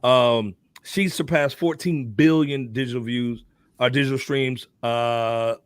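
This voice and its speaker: A man's voice: mid-range voice, steady tone, measured pace, smooth, no room sound. A middle-aged American man hosting a hip-hop podcast in a slangy, conversational way. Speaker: podcast host. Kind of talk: casual hip-hop talk.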